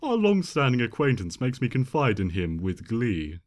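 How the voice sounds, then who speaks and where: A man reading fan fiction as an expressive, theatrical first-person narration. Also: mid-range voice, normal speed. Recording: long hall reverb.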